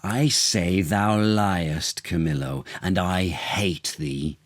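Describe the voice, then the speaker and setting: Jealous storybook king, acted by a male reader: low-pitched, deliberate, cruel and seething. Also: dry recording, clean studio sound. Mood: furious. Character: jealous, furious king turning cruel and menacing.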